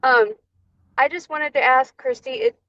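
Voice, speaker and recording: A woman joins a workshop call to ask a quick, friendly question. Her voice is a touch high, and the audio sounds dull and muffled. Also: sharp tone, a little hiss or hum. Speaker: workshop participant.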